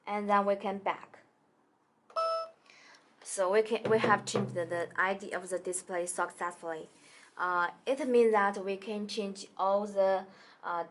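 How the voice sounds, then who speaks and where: A woman demonstrating a product in a small indoor studio, talking haltingly with many fillers and restarts. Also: mid-range voice, a little hiss or hum. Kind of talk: hesitant product tutorial.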